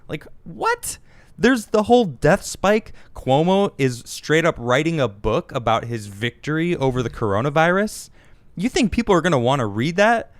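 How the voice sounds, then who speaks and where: An American man hosting a casual political talk show, getting animated and indignant on the news, often restarting his sentences. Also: a little high, no echo. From United States. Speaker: host.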